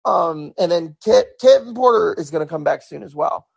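Podcast host, male, hoarse; raspy, heavy fry, easygoing solo commentary. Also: a little high, lively intonation, moderate pace. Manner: conversational, repeats words and restarts often.

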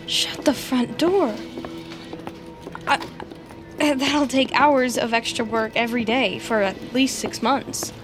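An actress playing an eager, awkward young tech team leader, stammering and rambling earnestly in a naturalistic audio drama. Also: female voice, fairly high pitch, slow pace, pauses often, music in the background. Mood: flustered.

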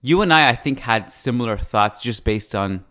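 A man's voice: medium pitch, expressive, normal speed, sharp tone. A man with an East Coast Canadian accent with Persian influence, hosting a casual football analysis podcast. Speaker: sports podcast host.

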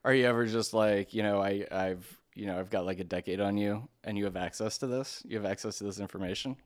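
A man chatting casually and asking questions in an easygoing, halting way, often rephrasing as he goes. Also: fairly low pitch. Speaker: conversation partner asking questions. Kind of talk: casual chat.

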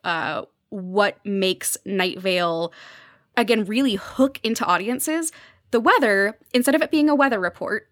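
A woman's voice: mid-range voice, fairly bright tone, dry recording, studio quality. A woman guest on a podcast, explaining pop culture in an enthusiastic, conversational way.

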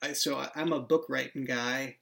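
A man's voice: medium pitch, steady tone, moderate pace, gravelly, crowd noise. A man who writes and created the show, talking casually and thinking aloud about his characters. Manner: reflective, thinks aloud with restarts. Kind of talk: casual behind-the-scenes chat.

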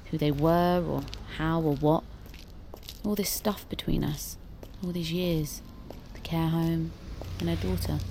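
A woman's voice: low voice, mellow, a little hiss or hum. An actress playing a polite, impulsive, naive young British woman in an audio drama, hesitant and earnest.

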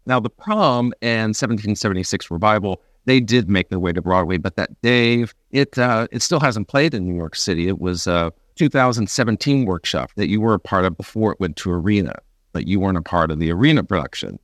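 A man's voice: on the low side, expressive, a little fast, no room sound, clean studio sound. A man hosting a conversational interview, talking in an agreeable, sympathetic way as a fellow actor.

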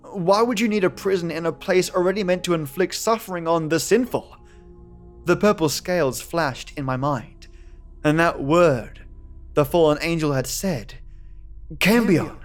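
Male storyteller for first-person horror fiction. Fairly high voice, dramatic scripted read, music underneath. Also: moderate pace. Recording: voice over background music.

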